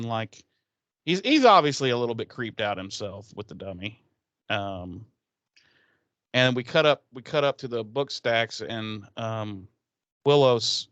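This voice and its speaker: A man's voice: mid-range voice, fairly bright tone, clear, resonant tone, dry recording, highs cut off. A man co-hosting a podcast, recapping an episode in a casual, sarcastic, rambling way with plenty of swearing. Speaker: podcast co-host.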